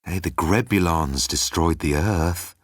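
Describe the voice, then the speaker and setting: A man voicing a cocky, laid-back space rogue with an unhurried, dismissive, casual manner in an audiobook. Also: low-pitched, no room sound. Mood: breezy, dismissive.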